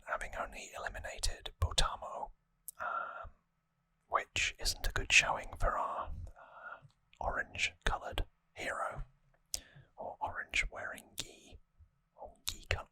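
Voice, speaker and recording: ASMR host, fully whispered, unhurried, gentle hesitant delivery, trivia recap, dry close-miked sound. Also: no room sound, low-quality recording. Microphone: close microphone, dry sound.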